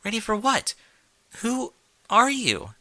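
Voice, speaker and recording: Give the fan story a man's put-on voice for a frightened young mare, indignant and demanding. The voice is pushed high and shrill, with a bright, forceful edge. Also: huge pitch swings, slow, dry recording, full character voice. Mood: alarmed, confused. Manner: shrill, angry questioning. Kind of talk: fan fiction storybook reading.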